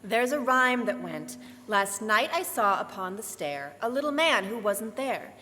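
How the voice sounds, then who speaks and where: A woman acts a spirited lady companion in a 1940s-style radio mystery. Her voice is bright and animated, with theatrical exclamations, heard live in a reverberant hall. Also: medium pitch, a little slow. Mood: playful. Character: lively, lovely female companion of a crime fighter. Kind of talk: 1940s radio drama acting. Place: church, live stage performance.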